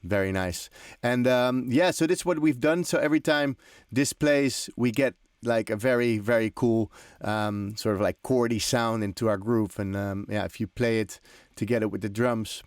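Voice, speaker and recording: A man's voice: medium pitch, normal speed, few pauses, smooth, dry recording. Man giving a dry-sounding, informal tutorial. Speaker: music production trainer hosting a tutorial. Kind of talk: informal software tutorial, explaining as he demonstrates. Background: electronic drum and synth loops.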